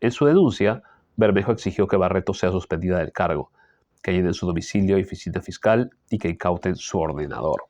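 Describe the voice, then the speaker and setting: A man with a Peruvian Spanish accent reads a political column aloud and adds his own asides, often clearing his throat because of an allergy. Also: low-pitched, animated, a little fast, darkish tone, no room sound, dull audio. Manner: frequent throat clearing and tag questions. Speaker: political commentator reading the news. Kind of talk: reading a news column aloud with personal asides.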